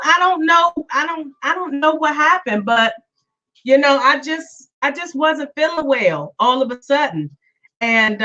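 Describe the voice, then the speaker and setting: Woman, live-stream host, voice worn from illness, bright tone, slightly distant muffled recording. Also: sits high. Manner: chatty, repeats herself, greets viewers by name. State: voice still weak from illness. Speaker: podcast host. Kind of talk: casual live-stream chat. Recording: dull lo-fi sound, a bit far.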